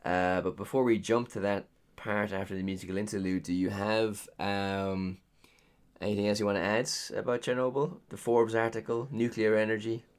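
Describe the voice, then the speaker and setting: Deep-voiced Irish man, rambling. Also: level tone, moderate pace, clean tone. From Ireland. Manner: rambling, heavy on ums and uhs. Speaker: podcast co-host. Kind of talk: casual podcast chat.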